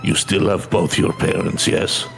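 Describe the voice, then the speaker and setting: Guy reading a gentle grieving game character, soft and measured, low voice, bit of music. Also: steady tone, creaky, heavily acted. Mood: gentle, solemn. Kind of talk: video game dialogue read aloud.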